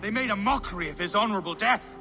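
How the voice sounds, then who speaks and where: A man's voice: high-pitched, animated, quick pace, bright, forceful tone, full character voice. An actor playing a scheming, aggrieved Viking in a game, pleading his case in a declamatory way. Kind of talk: dramatic scripted game acting. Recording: echoey hall with music underneath.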